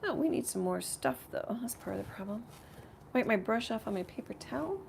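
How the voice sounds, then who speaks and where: Creaky-voiced woman chatting while she paints. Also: medium pitch, lively intonation, fairly quick, slight background noise. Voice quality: slightly rough, creaky voice. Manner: rambling, thinking aloud while working. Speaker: painter hosting a live art tutorial. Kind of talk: casual live painting demonstration chat. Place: home art studio.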